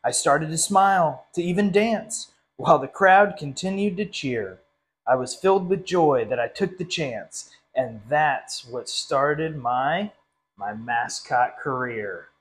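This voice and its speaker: A young man with a fairly high-pitched voice reads a picture book aloud. He addresses young listeners in a friendly, animated storytime style. He sits in a small classroom, a little way from the camera. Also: takes it slowly. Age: young adult. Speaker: literacy council director reading a story.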